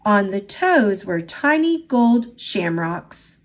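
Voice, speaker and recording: A woman reading a children's picture book aloud in an expressive storytelling style. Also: mid-range voice, slow pace. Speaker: storybook reader.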